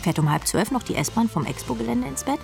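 A woman playing a jaded, sarcastic rock drummer who narrates her misadventures in a radio play, wry, deadpan and slangy. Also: a little high, a little fast, gravelly. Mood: exasperated. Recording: music behind the voice.

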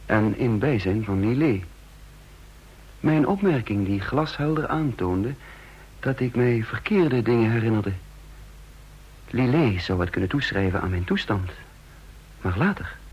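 A man playing a shaken, brooding secret agent who narrates his thoughts in measured, husky tones in a Dutch radio drama. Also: fairly low pitch, rough recording.

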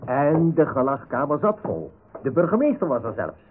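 A man's voice: a little high, big pitch swings, normal speed, slight background noise. A man narrating a radio drama from a script. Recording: tinny narrow-band radio recording with music.

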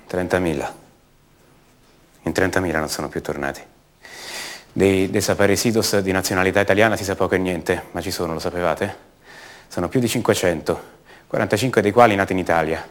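Actor as a haunted, low-voiced grown son. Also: male voice, lightly acted. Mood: bitter, ironic. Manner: halting, repeats words, intimate. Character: haunted adult son of a disappeared woman, brooding, searching. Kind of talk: radio theatre monologue in Italian.

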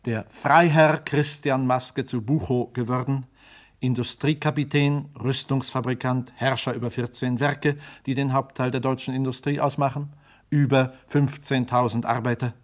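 A man reading a scripted introduction as a radio announcer in a measured, formal manner. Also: mid-range voice, fairly even intonation. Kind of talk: scripted narration.

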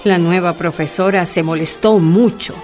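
A woman's voice: lowish voice, steady tone, normal speed, over music, a crowd in the background. A woman narrating a scripted radio drama as storyteller.

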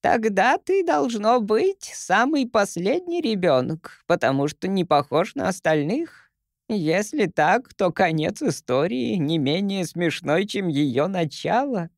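A man's voice: sits high, big pitch swings, vocal fry, no echo, studio quality, pitched above the performer's own voice, heavily acted. A man doing a giggling ancient tiny grandmother creature. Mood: merry teasing. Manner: giggly, teasing, drawn-out. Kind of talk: audiobook character voice.

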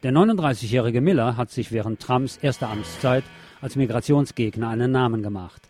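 A man presents the news on a radio show at a medium pitch, with music behind him. He reads articles aloud in a sardonic manner, given to mocking commentary. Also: brisk, a little mellow.

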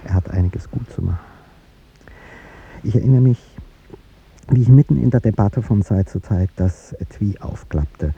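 An actor playing an aging, courtly statesman in a 1950s radio drama, speaking in a reflective, halting, wistful way. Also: on the low side, creaky, mellow. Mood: tender, nostalgic. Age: older. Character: aging male statesman, wistful, courtly, devoted to younger woman. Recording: dull, noisy 1950s radio recording.

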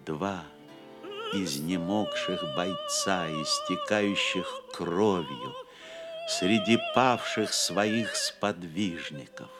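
A man narrating an audio play as a dramatic storyteller, solemn, theatrical and measured. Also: deep voice, wildly swooping pitch, over loud music.

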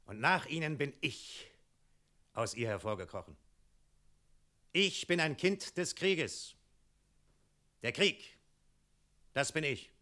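An actor in a radio play voicing a menacing, imperious centuries-old dragon with a curt, cold way of commanding. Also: male voice, fairly high pitch, expressive, takes it slowly, pauses often, pushed and bright, exaggerated voice. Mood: brooding. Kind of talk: German radio play acting.

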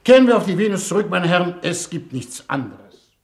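A shrewd, breezy foreign minister in a vintage radio drama. His voice sits fairly high and creaks, swinging widely in pitch as he talks with suave condescension. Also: sing-song, brisk, darkish tone. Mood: dismissive. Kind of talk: 1950s German radio play acting.

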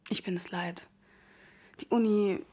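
A woman's voice: medium pitch, steady tone, unhurried, warm tone, breathy edge. An actress in her twenties playing a restless young bar keeper and student in a naturalistic radio drama, with brief, understated replies. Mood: weary, frank. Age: 20s.

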